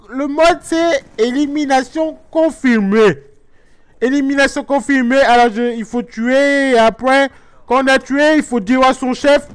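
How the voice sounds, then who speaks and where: Comic mom character: man playing a high, smooth-voiced Guadeloupean mother, chatty and naive. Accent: Guadeloupean French. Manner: rambling, earnest, mock-naive explanations. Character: chatty middle-aged Guadeloupean mother, naive about games. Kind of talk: comic gameplay commentary in character.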